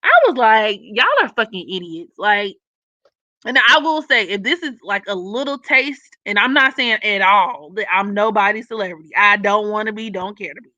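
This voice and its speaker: A young woman with a naturally raspy voice talks loosely to her live stream viewers, blunt and profane, often laughing. Her tone is bright and forceful. The audio is dry with no room sound and slightly dull, like a lo-fi home stream. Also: medium pitch, moderate pace, no echo. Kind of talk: casual live stream chat reading comments.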